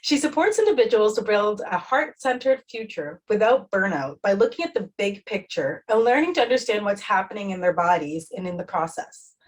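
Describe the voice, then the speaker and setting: Husky-voiced, tired woman podcast host. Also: medium pitch, low-quality recording. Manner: friendly, reads introductions from a script. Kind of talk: casual podcast hosting.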